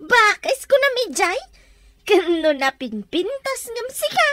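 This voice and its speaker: A woman playing an excitable, easily offended village gossip with an Ilocano accent in a comedic radio drama. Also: very high voice, no echo, heavily acted. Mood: indignant.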